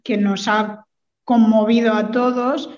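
Young woman, interview guest, higher voice, even intonation, hesitant pauses, muffled recording. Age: young adult. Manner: hesitant, pausing and repeating words. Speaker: interview guest, jurist and political scientist. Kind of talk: thoughtful spoken interview answer.

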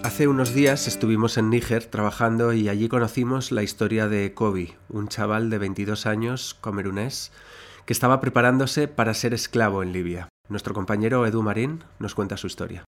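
A man's voice: fairly low pitch, brisk, soft background music. A man presenting a radio programme, reading a scripted introduction to a report.

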